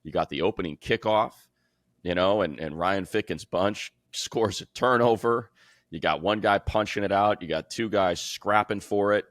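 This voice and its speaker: A man's voice: low-pitched, normal speed, no room sound, clean studio sound. A man co-hosting a sports podcast, breaking down football in casual, colloquial talk.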